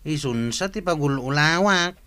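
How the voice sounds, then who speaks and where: An actor playing a broke, lazy tricycle driver who whines and pleads, in a comic radio drama with an Ilocano accent. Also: male voice, fairly high pitch, lively intonation, normal speed, bright, forceful tone, clear, resonant tone. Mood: pleading.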